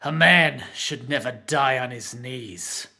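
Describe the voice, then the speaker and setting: An actor playing a wounded male sellsword who talks slowly and with strain. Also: medium pitch, pushed and bright, heavily acted. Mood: defiant. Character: defiant, dying young male sellsword.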